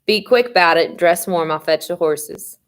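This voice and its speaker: A woman's voice: lowish voice, moderate pace, lightly acted. Female reader as storybook older brother, mountain lad, urgent, clipped, commanding.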